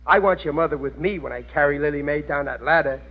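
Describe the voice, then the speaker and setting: Bumbling family father, folksy bad grammar, higher-pitched, vintage radio comedy, muffled sound. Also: fast. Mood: bossy, grumbling. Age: middle-aged. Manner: folksy ungrammatical speech. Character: bumbling, grumbling working-class family father, easily flustered. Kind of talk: 1940s-50s radio comedy acting. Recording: muffled, noisy old radio recording.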